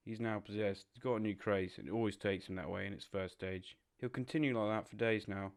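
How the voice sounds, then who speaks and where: A man playing a practical, exasperated water rat in an audio drama, brisk and matter-of-fact. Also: fairly low pitch, smooth, natural voice. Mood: resigned. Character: practical, exasperated male water rat, bossy old friend.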